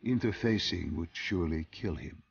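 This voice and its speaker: A man playing a stern, probing interrogator in a video game, questioning in a measured way. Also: low-pitched, expressive, vocal fry, lo-fi sound, a little hiss or hum.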